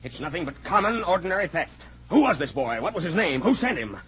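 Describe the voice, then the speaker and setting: Blustering, demanding man, swooping pitch. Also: sing-song, fast, fairly bright tone, breathy edge, heavily acted. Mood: outraged. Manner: blustering, imperious. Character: indignant, demanding well-to-do owner of a show dog. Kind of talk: 1940s radio drama acting. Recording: dull, noisy 1940s radio recording.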